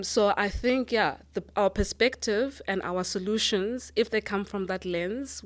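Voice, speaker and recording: A woman from Lesotho, a managing director answering interview questions earnestly, often pausing with ums. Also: mid-range voice. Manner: earnest, thoughtful, with frequent ums and restarts.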